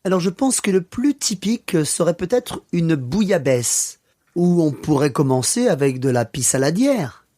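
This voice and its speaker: A man's voice: on the high side, big pitch swings, normal speed, mellow. A man playing a genial, teasing French father in a scripted language-learning radio play.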